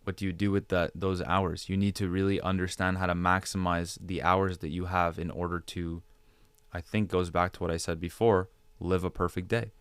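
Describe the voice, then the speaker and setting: A man's voice: deep voice, level tone, moderate pace, clear, resonant tone, dry recording. A man speaking as a podcast guest, giving conversational advice with rhetorical questions and repeated phrases for emphasis. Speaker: podcast guest, digital creator.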